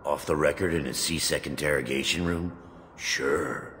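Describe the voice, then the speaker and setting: A man's voice: a bass voice, steady tone, measured pace, a bit bright, in a large hall, a little hiss or hum. An actor voicing a cocky male crime boss in a video game, sneering and taunting in tough-guy slang. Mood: defiant.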